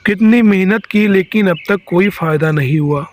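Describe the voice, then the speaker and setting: A man's voice: sits high, moderate pace, close to an everyday voice. A man playing a poor, hardworking village farmer in a cartoon story, earnest and plaintive. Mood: worried.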